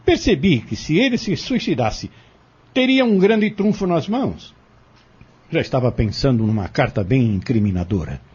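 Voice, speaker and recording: An actor playing a cunning, vengeful defendant giving deliberate, defiant testimony in an audio drama. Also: male voice, medium pitch, very animated, a little mellow, breathy edge. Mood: cold, calculating. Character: cunning vengeful male defendant confessing in court. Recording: dull lo-fi audio.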